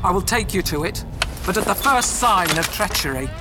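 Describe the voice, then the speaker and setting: A woman playing a proud, quick-tempered female alien warrior captain who barks orders imperiously in an audio drama. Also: medium pitch, animated, music in the background, natural voice. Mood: suspicious, haughty. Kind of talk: full-cast audio drama acting.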